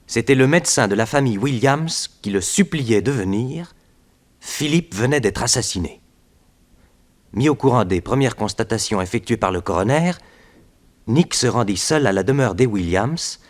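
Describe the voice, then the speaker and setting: Male radio serial narrator, medium pitch.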